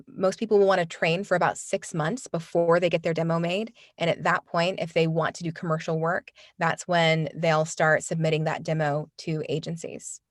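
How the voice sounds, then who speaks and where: A woman's voice: on the low side, no room sound, studio quality. A woman who coaches voiceover artists, talking earnestly in a casual interview and often restarting her sentences. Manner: earnest, many restarts and fillers. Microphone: studio microphone.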